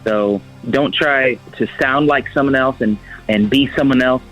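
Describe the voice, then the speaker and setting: A man giving earnest spoken advice, phrasing it haltingly. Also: medium pitch, fairly bright tone. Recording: dull muffled audio under music. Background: music with guitar.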